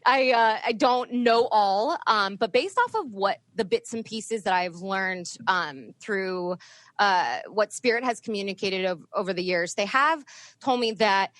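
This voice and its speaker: A woman from the US East Coast, a medium, talking in a chatty, animated way with frequent laughs. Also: mid-range voice, measured pace, no room sound. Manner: chatty, animated, laughs often, many fillers. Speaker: spirit medium guest. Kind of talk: casual podcast interview.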